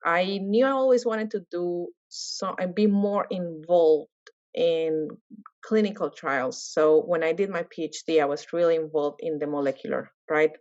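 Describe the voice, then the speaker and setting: A woman in her thirties from Colombia with a low voice tells her story in a lively, rambling way. The sound is dull and very dry, like a video call. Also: moderate pace, no echo. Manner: animated, many fillers and restarts. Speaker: guest, medical scientist. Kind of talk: casual interview answers.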